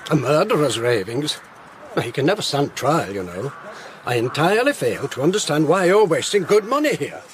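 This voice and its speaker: An actor with a British accent playing an excitable, sceptical Victorian gentleman with an emphatic manner in a radio drama. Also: on the high side, normal speed, slight background noise. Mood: scornful.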